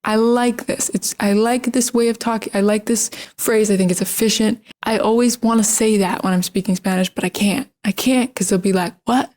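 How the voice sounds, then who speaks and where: A woman's voice: medium pitch, fairly even intonation, normal speed, few pauses, a little mellow. An American woman ranting casually to camera, rambling and frank, often repeating words and restarting her sentences. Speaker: language-learning vlogger.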